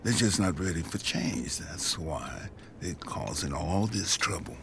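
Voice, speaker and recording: An actor playing a wry, principled middle-aged father in an audio drama, with an American accent and gravelly, folksy delivery. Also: low-pitched, animated, measured pace, a bit bright, slight background noise, exaggerated voice. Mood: wry, supportive. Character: wry, principled father who approves of righteous trouble.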